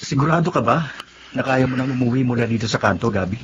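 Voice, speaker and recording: Man acting a fast-talking concerned friend, muffled. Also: mid-range voice, music in the background, lightly acted. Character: concerned, nagging male friend. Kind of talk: radio drama acting. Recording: muffled, clearly noisy.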